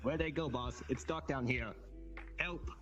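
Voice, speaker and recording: Man doing a slow, dim, eager henchman. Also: medium pitch, highs cut off, natural voice. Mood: bewildered. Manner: simple, slow, servile. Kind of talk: improvised online roleplay. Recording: loud music over the voice.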